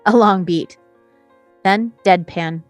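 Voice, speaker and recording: A woman with a mid-pitched voice narrates screenplay action as scripted stage directions. The recording is dry and close, with faint music behind her. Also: slow pace, no room sound.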